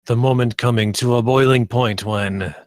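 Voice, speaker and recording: Man, medium pitch, script narrator, table read, dry recording. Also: fairly even intonation, normal speed, no echo. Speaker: narrator reading stage directions.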